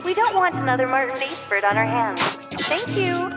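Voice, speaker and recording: A woman playing a blithe, formal spaceship security announcer in a comedic audio drama, making deadpan announcements. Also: sits high, moderate pace. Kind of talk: mock public-address announcement. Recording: distant echoey announcement, loud music behind.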